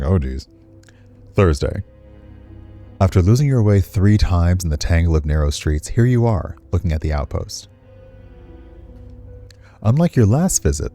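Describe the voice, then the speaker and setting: Expressive man, low mellow voice. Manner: chatty, expressive, reacts with asides to what is read. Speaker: streamer, let's player. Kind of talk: casual commentary while reading a visual novel aloud.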